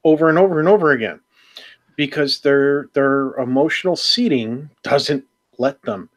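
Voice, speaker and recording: A man's voice: mid-range voice, normal speed. A man co-hosting a casual podcast, ranting with sarcastic jokes. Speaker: podcast co-host. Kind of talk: casual round-table chat.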